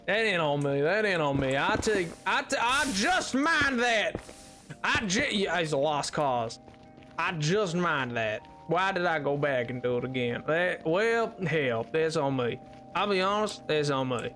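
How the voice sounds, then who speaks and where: A man's voice: fairly high pitch, animated, unhurried, pushed and bright. A man voicing an easygoing, polite cowboy with a drawling Southern US accent and a folksy, rambling, repetitive way of talking on a livestream. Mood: resigned. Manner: folksy, rambling, repeats himself. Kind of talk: improvised livestream banter. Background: music.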